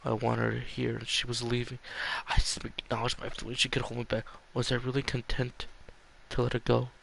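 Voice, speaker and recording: A man voicing an earnest, guilt-ridden young man from a visual novel, halting and stammering in a subdued way. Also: medium pitch, monotone, gravelly, a bit bright, a little hiss or hum, rough recording. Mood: regretful. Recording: music behind the voice.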